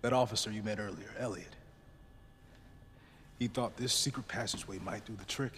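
An actor playing a wounded middle-aged male police lieutenant, gruff, weary and commanding. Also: lowish voice, moderate pace, fairly bright tone, natural voice. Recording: reverberant game audio with faint noise.

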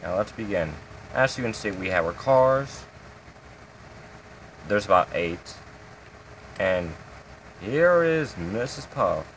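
A man's voice: lowish voice, animated, slow, long pauses, a bit bright, a little hiss or hum, low-quality recording. A man hosting his own game channel, giving a casual, rambling tour of his builds with lots of fillers.

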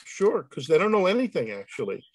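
A man speaking off the cuff in a comic, excitable way, often repeating words as he goes on a video call. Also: on the high side, brisk, no room sound. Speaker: panel reader answering questions. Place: indoor room on a video call.

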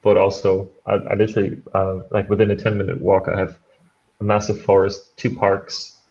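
A young German man speaks English with a faint Irish lilt, rambling along with lots of fillers. His voice sits a little low. The call audio is dull and lo-fi. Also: completely flat delivery, normal speed, a little mellow. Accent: German, with a slight Irish tinge. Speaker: meetup participant. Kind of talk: casual video-call chat. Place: bright room at home with shelves, indoors. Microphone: headphones, laptop on a video call.

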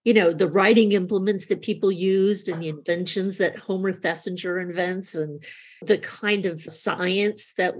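A woman's voice: on the low side, fairly even intonation, moderate pace, slightly rough. A woman hosting a conversational podcast interview, talking in an effusive, emphatic way.

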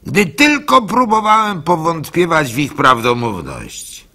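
Radio drama lead: good-natured gullible fool, husky and bright-edged, halting storyteller. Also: male voice, on the high side, very animated, exaggerated voice. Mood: resigned, earnest. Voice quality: husky, gravelly. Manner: halting, stammering repeats, chuckles, acts out hecklers. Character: gullible male village simpleton and orphan, good-natured, telling his story. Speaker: actor narrating a monologue.

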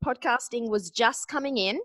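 A woman's voice: a little high, moderate pace, no echo. Bubbly Australian woman guest. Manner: bubbly, excited, upbeat. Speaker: podcast guest, media expert. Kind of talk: casual interview chat.

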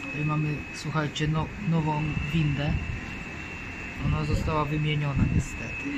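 A young man films on a handheld phone and talks casually to his viewers. His voice sits on the high side for a man and sounds keen. There is clear noise around him indoors. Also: monotone, normal speed. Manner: addresses viewers, enthusiastic about lifts. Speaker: lift enthusiast vlogger. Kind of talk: casual handheld video commentary. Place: indoor lifts and lift lobbies. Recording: noisy handheld phone in lift areas.

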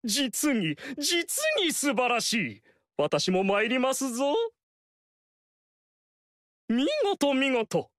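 An actor voicing a flamboyant, fawning court strategist in a video game, speaking in a theatrical, courtly way and repeating phrases for emphasis. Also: male voice, very high-pitched, big pitch swings, very slow, long pauses, fairly bright tone, no room sound, clean studio sound, full character voice. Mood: flattering. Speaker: game character.

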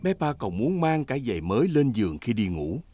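A man narrating a children's cartoon as a lively storyteller for small children. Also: mid-range voice, brisk, dry recording, soft background music. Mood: storytelling.

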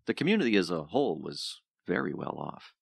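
Deep, gravelly aloof professor. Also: male voice, sing-song, dry recording, heavily acted. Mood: measured, teacherly. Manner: deliberate, dry, teacherly. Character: aloof, measured male professor and former researcher. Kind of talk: audio drama dialogue.

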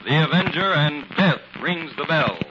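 An actor playing a dramatic, ominous American announcer, narrating a 1940s radio crime serial. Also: male voice, a little high, animated, normal speed, over loud music, close to an everyday voice. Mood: portentous. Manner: dramatic, portentous delivery. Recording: muffled, very noisy 1940s radio recording.

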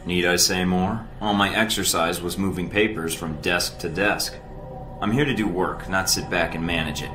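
Actor as cocky low-voiced American spy recruit. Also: male voice, moderate pace, close to an everyday voice. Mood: defiant. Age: young adult. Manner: cocky, flippant. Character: cocky, brash young male secret-agent recruit. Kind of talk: video game cutscene dialogue. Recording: echoey, music underneath.

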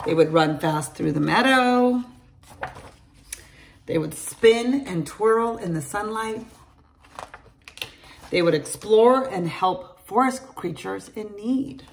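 A middle-aged woman reading a children's picture book aloud to her class, in an animated storytelling manner, recorded on a close phone camera in a large room at home. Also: mid-range voice, slow, pauses often, slight background noise. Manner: animated storytelling, addresses children by name, gravelly edge. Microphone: phone or laptop camera at close range.

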